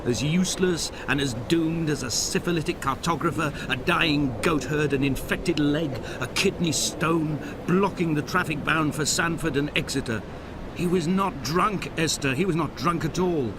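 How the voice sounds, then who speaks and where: An actor playing a grieving, injured castaway who narrates a video game in solemn, poetic, feverish monologue. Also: male voice, on the high side, noisy background, close to an everyday voice. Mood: desperate. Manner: solemn, poetic, deliberate delivery. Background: music.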